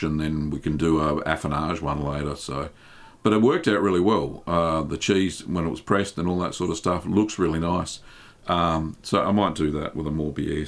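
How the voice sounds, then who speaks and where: Low-voiced host, easygoing live-stream Q&A, lots of ums. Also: male voice. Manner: relaxed, chatty, many ums and uhs, light laughs. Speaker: cheesemaking channel host. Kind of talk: live-stream Q&A answering chat questions.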